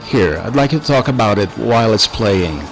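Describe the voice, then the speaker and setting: A man's voice: fairly low pitch, expressive, fairly quick, faint music behind. A man hosting a live radio interview, putting questions to a guest in a conversational way and often repeating himself.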